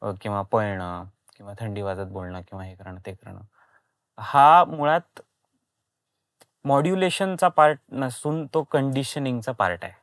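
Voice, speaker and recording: A man's voice: mid-range voice, measured pace, no room sound, clean studio sound. A man with an Indian accent, a voice actor and podcast guest, explaining step by step in casual talk with frequent check questions.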